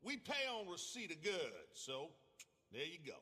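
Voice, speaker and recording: An actor playing a stern, formal bounty paymaster in a western game, declamatory, in a reverberant space. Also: male voice, a little high, wildly swooping pitch, measured pace, pushed and bright, a little hiss or hum, heavily acted. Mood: stern, satisfied.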